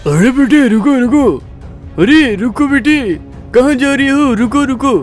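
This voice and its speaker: A man's voice: high voice, normal speed, darkish tone, soft background music, natural voice. A man playing an earnest older family friend, fatherly and insistent, in a melodramatic story. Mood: urgent calling. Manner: pleading, insistent.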